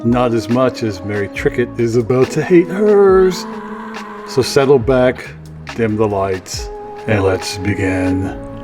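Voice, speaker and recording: Slow, theatrical male storyteller over music. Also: medium pitch, lively intonation. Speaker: storyteller host. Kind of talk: scripted dramatic show introduction.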